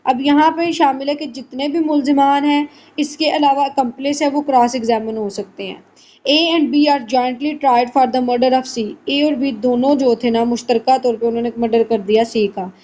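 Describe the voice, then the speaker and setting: Female law lecturer, high register, reads and explains; slightly dull, lightly noisy audio. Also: fairly even intonation, warm tone. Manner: switches between slow English reading and conversational Urdu explanation. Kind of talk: explanatory lesson, reading out statutes and glossing them. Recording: muffled lo-fi audio with faint noise.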